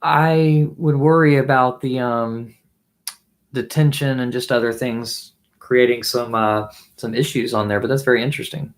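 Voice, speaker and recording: A man in his thirties, hoarse from losing his voice, chatting casually on a live stream from a small home music room, musing and restarting his sentences. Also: mid-range voice, steady tone, moderate pace. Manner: musing, trailing off with restarts while playing. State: losing his voice, throat clearing. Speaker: livestream host and bassist. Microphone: webcam mic at desk distance, wears headphones.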